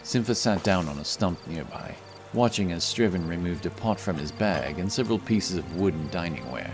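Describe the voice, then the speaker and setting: A man's voice: deep voice, expressive, moderate pace, over music. A man reading scripted narration for a fantasy story as its narrator.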